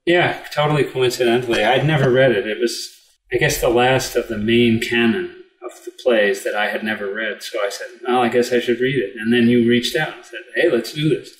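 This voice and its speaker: Male panelist, medium pitch, casual, roomy echoing sound. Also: steady tone, fairly quick. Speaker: panel participant. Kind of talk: casual chat. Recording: echoey room sound.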